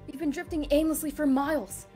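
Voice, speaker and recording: A woman reading character lines in a casual fan table read, teasing and energetic. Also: high-pitched, a little fast, faint music behind.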